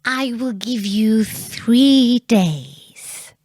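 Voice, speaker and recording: A woman voicing a gleeful, bargaining, fierce-tempered little goblin man in a children's storybook, with drawn-out, emphatic delivery. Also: on the high side, lively intonation, darkish tone, no echo, clean studio sound, exaggerated voice.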